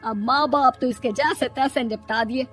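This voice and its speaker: A woman's voice: sits high, moderate pace, a bit bright, slightly rough, soft background music. A woman voicing a nagging, exasperated middle-aged mother-in-law in a Hindi cartoon story, scolding and mocking. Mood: complaining.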